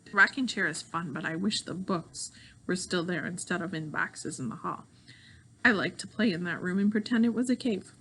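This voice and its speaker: A woman's voice: fairly low pitch, normal speed, fairly bright tone, a little hiss or hum, poor audio. A woman voicing a precocious, dramatic little girl who tells her life story earnestly and in grand words. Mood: earnest, pleased.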